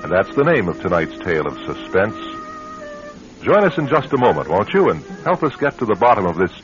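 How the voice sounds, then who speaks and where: Deep theatrical male radio suspense narrator. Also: very animated, music in the background. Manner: theatrical, dramatic pauses. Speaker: host and narrator. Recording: dull vintage radio recording.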